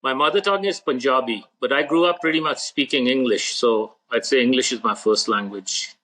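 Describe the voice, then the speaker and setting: A man answering as a podcast guest, measured and blunt, making short firm statements. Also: mid-range voice, sharp tone, poor audio. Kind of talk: interview answers.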